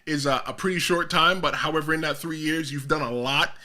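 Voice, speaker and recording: A man with a Jamaican American and New York accent hosting a casual interview, energetic and teasing, pushing his guest to speak up. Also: fairly high pitch, fairly quick. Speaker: podcast host.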